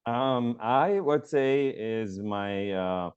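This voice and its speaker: A man's voice: mid-range voice, lively intonation, extremely slow, darkish tone, clean tone, dull audio. A man from Lebanon, a mortgage broker guest, answering interview questions earnestly with many ums and uhs over a remote call. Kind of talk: conversational interview.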